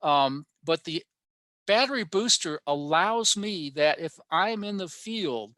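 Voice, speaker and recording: A man giving an informal technical presentation online, pausing often in mid-sentence as he explains. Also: fairly high pitch, lively intonation, sharp tone, no echo, clean studio sound. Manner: halting, with frequent mid-sentence pauses. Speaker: amateur radio club presenter. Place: online workshop talk.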